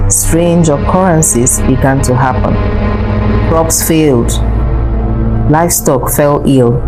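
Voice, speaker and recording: A woman's voice: sits low, poor audio. A woman reading a scripted folktale as its narrator. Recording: loud music under the voice. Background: prominent instrumental music.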